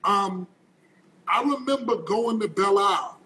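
Very high-voiced excitable male host. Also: measured pace. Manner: excitable, interjecting, repeats himself. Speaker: podcast host. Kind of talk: casual interview conversation.

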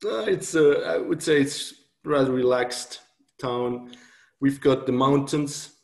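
A man with a Swiss accent answers casually as an interview guest. His voice is gravelly with vocal fry and sits at a medium pitch, with thoughtful, hesitant phrasing. The room echoes around him. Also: slow pace, a little mellow. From Switzerland. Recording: roomy, echoing call audio.

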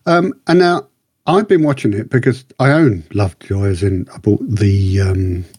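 A man gives a casual, rambling review as a podcast co-host. His voice is low and mellow, a little husky, and he likes to repeat words for emphasis. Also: moderate pace, dry recording, clean studio sound.

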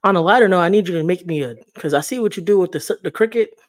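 A young adult woman with a deeper voice, hosting a casual interview in a supportive, easygoing way. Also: racing. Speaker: host.

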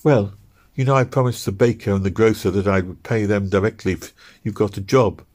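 A man pitches his voice well up to play a worn-out young wife in an old storybook reading, tearful and pleading. Her voice sits high and strained, and the words come out in plaintive sobs. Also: steady tone, a little fast, a little mellow, close to an everyday voice. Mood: anxious. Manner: sobbing, plaintive delivery. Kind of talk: audiobook character reading.

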